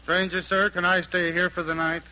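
A man's voice: high-pitched, level tone, normal speed, lightly acted, low-quality recording. A man playing a cheerful, easygoing young wandering folk singer in a folksy 1940s radio drama style. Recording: old 1940s radio broadcast with music.

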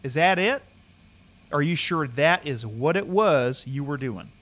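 A man's voice: fairly high pitch, expressive, unhurried, a bit bright. An actor playing a concerned, chatty young male camp counselor in an audio drama, talking casually and earnestly. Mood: questioning.

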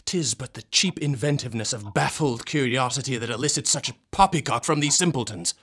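A man's voice: medium pitch, fairly quick, fairly bright tone, husky. An actor playing a haughty, mad young man in a radio drama, speaking pompous, antiquated English. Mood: irritable, defiant.

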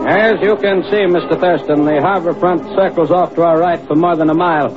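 An actor playing an evasive, fussy and officious police inspector in a 1950s radio drama. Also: male voice, on the high side, steady tone, brisk, music in the background, lightly acted. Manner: fussy, defensive. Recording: tinny, hissy old radio recording.

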